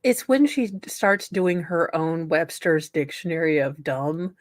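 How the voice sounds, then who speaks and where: A woman chats in a casual comedic style, sarcastic and emphatic. Her voice is a little low for a woman, and it is recorded dry, with hardly any room sound. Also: lively intonation, a little slow, dry recording.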